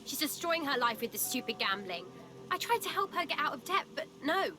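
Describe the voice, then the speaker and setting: A woman's voice: sits high, sing-song, brisk. An actress playing an anxious woman pleading for her friend in a video game. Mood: worried.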